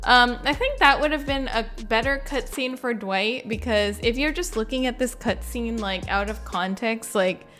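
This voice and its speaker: A woman's voice: a little high, normal speed, hardly any pauses, a bit bright, faint music behind. A woman giving casual reaction commentary in an informal, chatty way, with frequent use of "like". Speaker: gaming video creator.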